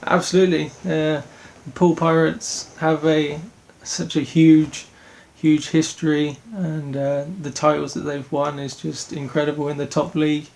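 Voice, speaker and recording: A young man from Denmark, a speedway rider answering interview questions in an earnest, halting way with many restarts. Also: a little high, flat delivery, vocal fry, a little mellow.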